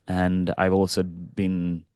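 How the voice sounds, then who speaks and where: A man from Sweden in a reflective, casual conversation, talking haltingly and repeating phrases as he thinks. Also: sits low, monotone, darkish tone, clean tone, no echo, clean studio sound. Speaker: podcast participant.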